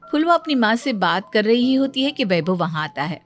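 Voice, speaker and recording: A woman narrating a scripted bedtime cartoon story in a calm storytelling manner. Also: fairly high pitch, normal speed, faint music behind.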